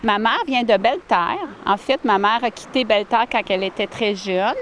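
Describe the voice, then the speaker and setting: A woman, answering interview questions warmly and earnestly outdoors by a lake, with many fillers and restarts. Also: mid-range voice, normal speed, a little hiss or hum. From Ottawa, Canada. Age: 40s. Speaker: village mayor, interviewee.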